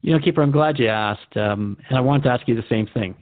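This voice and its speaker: A male radio host speaks thoughtfully and courteously, as if interviewing a guest in a radio drama. His mid-pitched voice sounds close and dry, squeezed into a narrow telephone-like band. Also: fast talker, no room sound.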